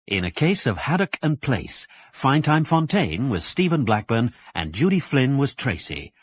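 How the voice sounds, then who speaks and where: A man announces a radio drama's credits in a scripted broadcast style. His voice is husky, with lively intonation. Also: mid-range voice, unhurried.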